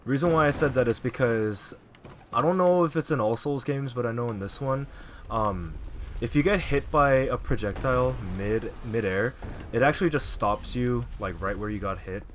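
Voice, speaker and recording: A man quietly narrating his video game playthrough in a low voice, rambling casually with fillers. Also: moderate pace, slight background noise. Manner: relaxed, rambling, frequent fillers. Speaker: let's play gamer. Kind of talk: casual gameplay commentary.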